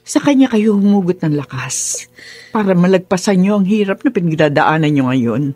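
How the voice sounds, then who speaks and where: An actress playing a gentle, self-sacrificing older mother with a soft husky voice and Filipino accent in a radio melodrama. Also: lowish voice, animated, normal speed, dry recording, faint music behind. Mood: consoling sorrow.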